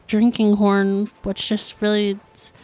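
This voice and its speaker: A woman's voice: medium pitch, monotone, takes it slowly, darkish tone. A woman livestreaming a video game, chatting casually and thinking aloud as she answers viewers. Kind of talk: casual chat while playing a video game.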